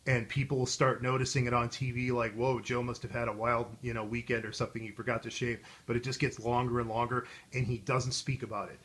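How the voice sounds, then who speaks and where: A man's voice: mid-range voice, level tone, fast, hardly any pauses, fairly bright tone. An American man hosting a video in casual chat, with a wry, rambling way of riffing as he talks. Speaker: video host.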